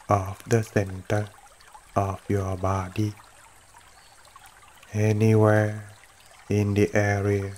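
Very slow, monotone man guiding meditation. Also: lowish voice, a little hiss or hum. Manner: gentle, unhurried, short phrases with long pauses. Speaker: meditation teacher. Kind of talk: guided meditation. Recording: running water throughout. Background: trickling stream water.

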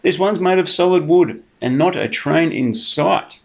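Sly smooth-talking fox, a guy putting it on, coaxing and flattering, a bit higher and gravelly. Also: measured pace. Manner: coaxing, flattering sales patter. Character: sneaky, cunning male fox, smooth-talking persuader.

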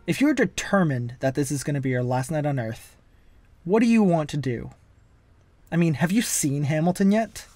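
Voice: mid-range voice, lively intonation, normal speed, a little mellow, dry recording, natural voice. A performer voicing a wisecracking, manic masked antihero in a comic-book dub, flippant and theatrical but caring underneath. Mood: flippant, joking. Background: background music.

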